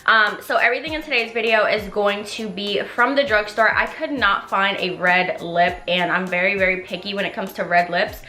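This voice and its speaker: A woman's voice: mid-range voice, normal speed, hardly any pauses, bright, forceful tone, roomy sound, soft background music. A young woman chatting through a makeup tutorial, rambling and playful, a little congested and nasal. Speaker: beauty vlogger. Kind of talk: casual makeup tutorial chat.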